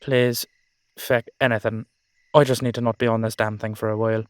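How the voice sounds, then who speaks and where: A man's voice: fairly low pitch, little pitch movement, brisk, dry recording, clean studio sound, close to an everyday voice. A man voicing an exasperated, foul-mouthed Irish dullahan horseman in an audio roleplay drama, blustering and complaining with an Irish accent. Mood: irritated.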